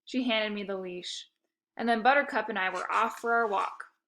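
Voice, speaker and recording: A woman reading a children's book aloud as an expressive storyteller, acting out the dialogue. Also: mid-range voice, moderate pace. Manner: animated, acts out dialogue.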